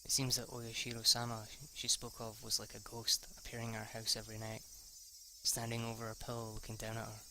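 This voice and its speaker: A man with a sharp, very bright voice reads a visual novel aloud in an amateur let's play style. His pitch range is fairly narrow and his voice has some gravelly creak. He tends to trip over words and go back over them. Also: monotone, brisk, slight background noise. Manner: stumbles over words and rereads lines; casually foul-mouthed when reacting. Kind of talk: amateur read-aloud narration with off-the-cuff reactions.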